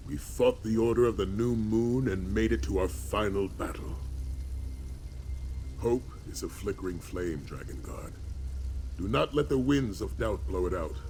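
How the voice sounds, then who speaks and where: A very low male voice for a grave warrior leader in a fantasy game. He speaks in measured, solemn, rousing phrases, weary yet hopeful. Faint ambient noise sits behind him. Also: creaky, a little mellow. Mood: resolute. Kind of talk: video game voice acting, dramatic fantasy dialogue.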